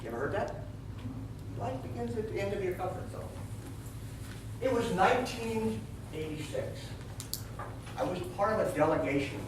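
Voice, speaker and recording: A middle-aged American man giving a storytelling speech to a live audience, with a dramatic, conversational delivery and emphatic pauses. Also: high voice. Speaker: workshop presenter. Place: echoey indoor event room with an audience. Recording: very echoey room, distant mic, clear noise.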